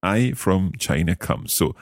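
Scottish guy hosting a language podcast, deep voice, bouncy intonation, very dry sound. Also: lively intonation, no room sound. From Scotland. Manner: repeats phrases slowly and carefully. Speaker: podcast language learner host.

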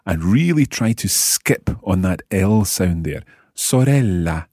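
A man hosting a spoken language lesson, prompting a learner and pausing for listeners in a slow, patient way. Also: sits low, animated, a little mellow, slightly rough, no echo, studio quality. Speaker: language course host.

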